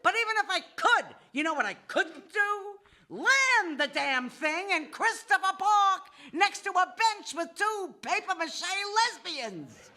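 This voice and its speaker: A woman's voice: very high voice, very animated, a little slow, few pauses, pushed and bright, heavily acted. An actress playing an exasperated, sarcastic New York woman who works in banking, lecturing in a live audio play. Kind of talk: live stage audio drama.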